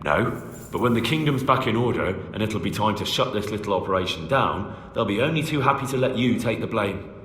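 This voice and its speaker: An actor playing a young, firm and blunt medieval agent of a lord in a video game cutscene, speaking plainly and assertively. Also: male voice, fairly low pitch, animated, a little fast, fairly bright tone. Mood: firm, persuasive. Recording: echoey hall reverb, noisy background.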